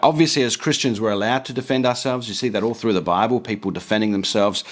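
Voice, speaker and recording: A man's voice: lowish voice, fast talker. Earnest man preaching in explanatory commentary. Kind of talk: explanatory commentary on a text read aloud.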